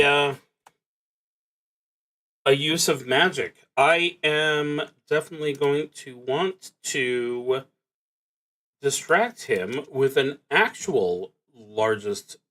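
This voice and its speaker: A man casually narrating a solo roleplaying game as a streamer, thinking aloud in a halting way and muttering to himself between announcements. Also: fairly high pitch, fairly bright tone.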